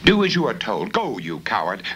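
A man's voice: lowish voice, wildly swooping pitch, normal speed, a bit bright, breathy edge, dull audio, exaggerated voice. A man voicing an impatient rich lord with a formal, exasperated manner in a storybook reading. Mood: angry commanding. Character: rich lord, impatient husband, reasonable then commanding.

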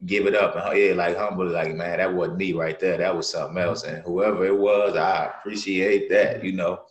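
A man's voice: low voice, fairly even intonation, normal speed, reverberant, muffled recording. A man hosting an interview from home over a video call, chatting casually with short encouraging replies. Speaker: host. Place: dim room at home.

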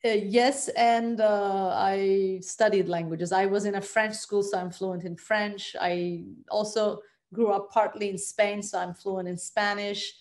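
A woman's voice: medium pitch, steady tone, measured pace, hardly any pauses, a little mellow. A woman in her forties from Turkey, a native Turkish speaker with a Turkish accent, talking conversationally as an interview guest on a video call from home. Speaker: guest, trauma psychologist. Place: bright living room at home.

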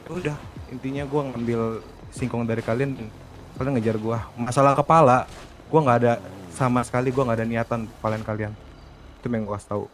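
A man's voice: mid-range voice, fairly even intonation, brisk, long pauses, slight background noise. A man arguing insistently in character during an online role-play game. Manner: argumentative, insistent. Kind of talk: in-character argument in a multiplayer game.

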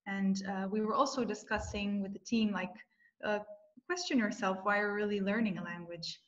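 A woman's voice: medium pitch, fairly even intonation, moderate pace, fairly bright tone. Hesitant woman hosting a webinar call. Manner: many hesitations, uh and um. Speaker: webinar host. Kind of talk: moderating a webinar. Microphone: video call. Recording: dull video call audio.

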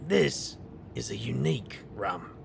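A man playing a cocky, wry magician hero in an urban fantasy audio drama, gravelly and bantering. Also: medium pitch, sing-song, unhurried, slight background noise, heavily acted. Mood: casual teasing. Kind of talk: audio drama dialogue and first-person narration.

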